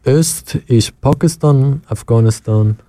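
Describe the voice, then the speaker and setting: A young man from Iran's region, speaking halting second-language German and laughing often as an interview guest. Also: medium pitch, warm tone, dry recording. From Iran, Kurdish west. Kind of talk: interview conversation.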